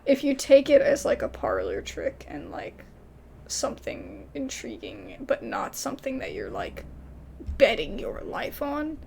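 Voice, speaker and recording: A woman's voice: on the high side, huge pitch swings, a little slow, creaky, dark tone, slight background noise. A woman doing casual let's-play commentary, reading game subtitles aloud with playful, apologetic asides. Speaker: gaming streamer.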